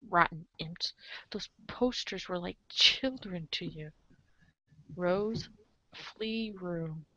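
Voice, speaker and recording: Woman as a wry, lowish-voiced story narrator. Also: takes it slowly, bright, forceful tone, no echo, muffled recording. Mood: wry narrating. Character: wry storybook narrator addressing the hero as you. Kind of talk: scripted second-person comedic narration.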